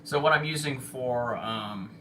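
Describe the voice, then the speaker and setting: A man chatting casually to livestream viewers as an amateur storm spotter, speaking haltingly with trailing pauses, on phone audio. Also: mid-range voice, bright, forceful tone, a little hiss or hum, poor audio. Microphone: phone, held or propped nearby.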